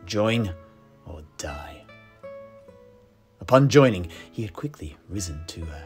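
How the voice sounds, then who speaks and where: A man telling a fantasy tale in character, theatrical and measured, with dramatic pauses and stresses. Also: deep voice, expressive, darkish tone. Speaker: storyteller, in-character tavern keeper. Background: plucked-string music.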